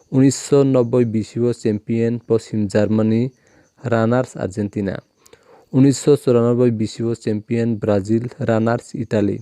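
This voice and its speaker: Low-voiced Kokborok-speaking man, dry close sound. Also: warm tone, no room sound. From Tripura, India. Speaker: channel host. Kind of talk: reading a list in a home video.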